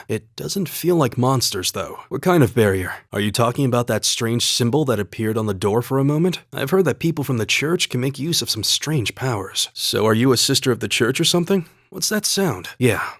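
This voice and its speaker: A man voicing a calm, courteous and earnest young male student fighter in a video game, speaking in a measured, polite way. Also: mid-range voice, no room sound, clean studio sound. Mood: thoughtful, polite. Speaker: video game character voice actor.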